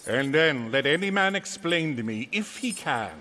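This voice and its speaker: A man's voice: a little high, expressive, normal speed, bright, forceful tone, slight background noise. A man narrating a classic story in a dramatic, scripted storybook style for radio drama.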